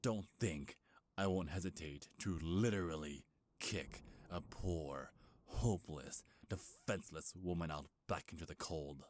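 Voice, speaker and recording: A man's voice: low-pitched, measured pace, a little mellow. A man playing an ancient, bitter, grieving beast king in a dramatic ASMR roleplay, brooding and menacing with heavy sighs. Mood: gruff, threatening.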